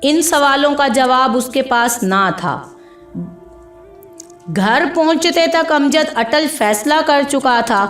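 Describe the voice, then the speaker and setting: A woman reading a scripted moral story as a narrator, in a measured, dramatic storytelling manner. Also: high voice, a bit bright. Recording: echoey voice with music behind.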